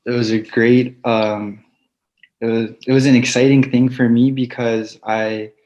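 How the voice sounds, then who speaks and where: Young guy from hawaii on a muffled video call, low-ish voice, slow and flat, lots of ums. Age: young adult. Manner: soft-spoken, many fillers, slow and even. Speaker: football player guest. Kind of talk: casual online interview. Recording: dull lo-fi video call audio.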